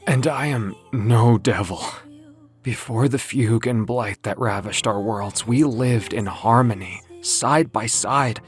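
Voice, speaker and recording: Over music, a man acts out an ancient winged muse, solemn and impassioned. The figure delivers grave, deliberate speeches in the theatrical manner of an audio comic drama. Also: mid-range voice, close to an everyday voice. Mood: solemn, wounded. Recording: music behind the voice.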